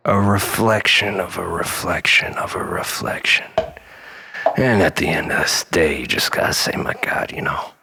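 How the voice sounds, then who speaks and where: A man's voice: lowish voice, sharp tone, exaggerated voice. A man playing an unhinged, self-loathing storyteller in a dramatic monologue, rambling in mock-philosophical, preacher-like repetitions. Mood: dark, weary. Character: unhinged, self-loathing male storyteller pleading with his lover.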